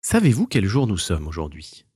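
A man voicing a kindly, gently teasing old grandmother in a children's story. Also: deep voice, wildly swooping pitch, moderate pace, slightly rough, no room sound, clean studio sound, exaggerated voice. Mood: warm, teasing. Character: kindly, mischievous old grandmother telling a legend.

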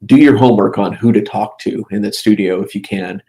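A man in his fifties, a game studio head and guest, giving relaxed interview answers with many "uh" and "um" pauses. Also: on the low side. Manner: halting, full of "uh" and "um" fillers.

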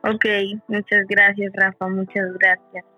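An interview host speaking in a halting way who repeats words of thanks. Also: mid-range voice, monotone, lo-fi sound, soft background music. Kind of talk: hosting an interview.

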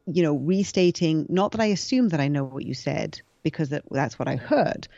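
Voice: medium pitch, moderate pace, gravelly, a little mellow, dry recording. Guest explaining stuff with lots of examples, stops and restarts, muffled lo-fi dry sound. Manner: explains with examples, frequent hesitations. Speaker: interview guest. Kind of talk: explanatory conversational talk.

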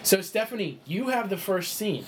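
A man's voice: high voice, animated, moderate pace, a little hiss or hum. A man running a tabletop game session, explaining the rules in a chatty way with lots of fillers and restarts. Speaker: game master.

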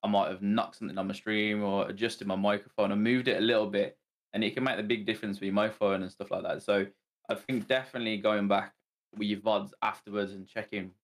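Hesitant low-voiced man giving tips. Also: steady tone, fast talker. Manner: hesitant, many "um"s. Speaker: guest streamer. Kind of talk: casual advice to new streamers.

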